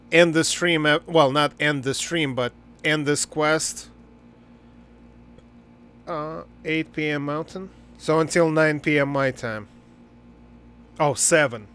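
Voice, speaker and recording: Clear, slow-talking male game streamer. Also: a little high, a bit bright. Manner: informal, slangy, mildly profane. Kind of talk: casual live-stream chat while gaming.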